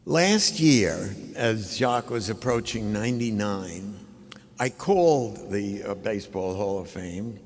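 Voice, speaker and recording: An older man giving an anecdotal tribute speech at a ceremony, in a measured, halting way with pauses. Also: on the low side, expressive. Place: large indoor ceremonial hall. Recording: reverberant large hall.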